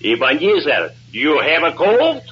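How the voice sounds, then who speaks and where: Casting: exasperated foreign director, theatrical, highish and hugely animated, on a muffled old noisy recording. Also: male voice, normal speed, breathy edge, heavily acted. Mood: dry. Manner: theatrical, volatile, shouting and screaming. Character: excitable, exasperated foreign amateur play director, a man.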